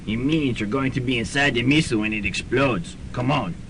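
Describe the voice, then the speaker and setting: A man's voice: mid-range voice, brisk, fairly bright tone, natural voice. A man playing a menacing comic terrorist kidnapper, declamatory and threatening, in a radio drama. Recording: noisy amateur student radio recording.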